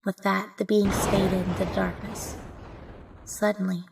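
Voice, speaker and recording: A woman's voice: mid-range voice, level tone, a little slow, gravelly, very noisy. A woman giving scripted first-person narration for an audio drama.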